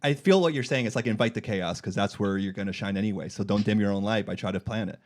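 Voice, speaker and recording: A man's voice: lowish voice, fast. A man hosting a comedy podcast with casual, joking banter, slangy and irreverent, with some swearing.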